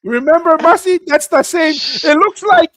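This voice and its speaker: A man co-hosting a casual chat show, animated and laughing easily, repeating phrases for emphasis. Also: very high voice, normal speed. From Tonga. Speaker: podcast co-host.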